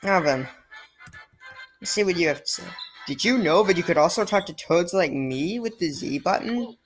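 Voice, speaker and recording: A woman's voice: fairly low pitch, lively intonation, moderate pace. A woman commentating on a game she is playing, in a casual, exasperated and sarcastic way, often sighing. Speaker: let's play gamer. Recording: faint game music behind.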